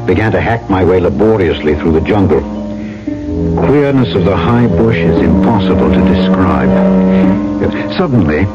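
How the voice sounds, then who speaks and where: Tough plain-talking trader-narrator for vintage radio play; deep-ish register, colloquial, sure of himself, dull archive sound. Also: male voice, expressive, moderate pace. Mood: storytelling. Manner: folksy, colloquial, self-assured. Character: tough, plain-spoken, defiant male island trader and storyteller. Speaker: actor, lead and narrator. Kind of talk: old-time radio drama acting and first-person narration. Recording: muffled old radio recording with music behind.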